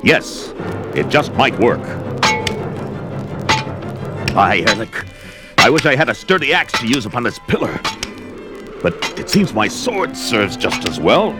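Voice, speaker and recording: An actor playing a fierce, brooding barbarian warrior in theatrical, declamatory 1970s audio drama style, talking aloud to himself. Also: male voice, mid-range voice, sing-song, measured pace, loud music behind the voice. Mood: straining, determined.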